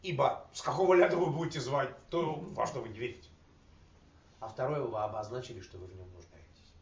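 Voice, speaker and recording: A man's voice: mid-range voice, fairly quick. A man speaking casually to a recovery group, telling jokes and using slangy, coarse words. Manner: animated, laughing, slangy and coarse. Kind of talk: casual joking talk to a group. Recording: roomy, distant, dull with faint noise.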